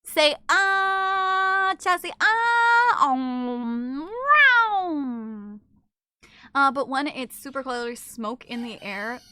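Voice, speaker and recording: A woman's voice: sits high, expressive, slow pace, pushed and bright, no echo, clean studio sound. A young woman streaming, chatting casually with viewers, teasing and swearing freely. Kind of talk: casual live-stream chat reading viewer comments.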